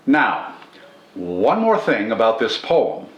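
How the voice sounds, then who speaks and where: An older man presenting poetry in a formal, measured, scripted style, enunciating carefully. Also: fairly low pitch, huge pitch swings, a bit bright, muffled recording. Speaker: host of a poetry reading channel. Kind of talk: scripted literary commentary and poetry recitation. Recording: roomy indoor echo.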